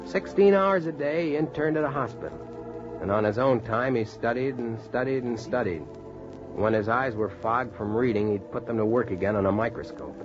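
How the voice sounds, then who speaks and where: An American man acting an earnest, determined doctor and storyteller in a measured 1940s radio style. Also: mid-range voice, close to an everyday voice. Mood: stirring, solemn. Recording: muffled vintage radio, music behind.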